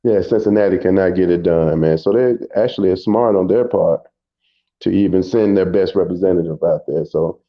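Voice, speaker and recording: Very deep-voiced man on a dull line. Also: brisk. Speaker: guest caller. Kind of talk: casual chat.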